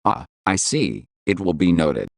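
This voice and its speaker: A formal research official acted by a man in a scripted audio drama. The pitch is medium with lively movement, and the sound is dry and close. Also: measured pace, creaky, no echo, exaggerated voice. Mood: businesslike.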